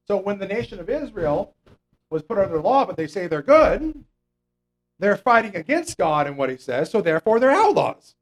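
A man with a fairly high voice delivers a conversational Bible lesson. He explains scripture step by step, with fillers and frequent "okay?" checks to the congregation. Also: rough recording. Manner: mocks imagined religious people in a raised voice. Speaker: preacher. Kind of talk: conversational Bible teaching sermon.

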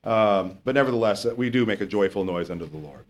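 A man with a lower voice, a pastor teaching verse by verse in a conversational way and reading scripture slowly and with emphasis. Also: animated. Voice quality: lower voice, not strong. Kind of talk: verse-by-verse Bible teaching sermon.

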